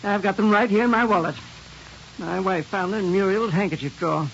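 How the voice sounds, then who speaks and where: A self-righteous small-town father, pompous and accusing, in a high voice. It is old radio drama acting, on a dull, hissy vintage recording. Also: brisk, vocal fry, fairly bright tone. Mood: stern indignation.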